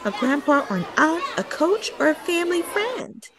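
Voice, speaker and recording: A woman voicing a caring, friendly young schoolboy in a children's storybook, expressive and concerned. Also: high-pitched, normal speed, pitched above the performer's own voice. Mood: encouraging.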